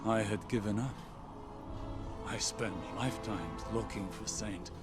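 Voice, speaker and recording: A man voicing a weary, reflective sage in a video game monologue, measured and theatrical. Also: on the low side, loud music behind the voice, natural voice. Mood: weary relief. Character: weary, reflective sage who spent lifetimes searching.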